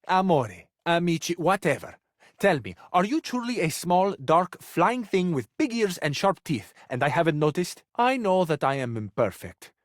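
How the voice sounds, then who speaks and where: Melodramatic Italian romantic cabinet, dry close sound. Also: male voice, a little high, sing-song, normal speed, dry recording. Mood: indignant, impassioned. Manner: florid, dramatic, wounded romantic phrasing. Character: passionate, melodramatic Italian male living cabinet, sensitive romantic. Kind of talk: theatrical video game character acting.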